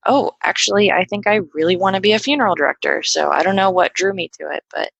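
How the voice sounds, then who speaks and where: A woman's voice: lowish voice, fast talker, creaky, fairly bright tone, dry recording, muffled recording. A young woman guest on a podcast, talking casually and hesitantly with lots of fillers and restarts. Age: young adult. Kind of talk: casual conversational interview.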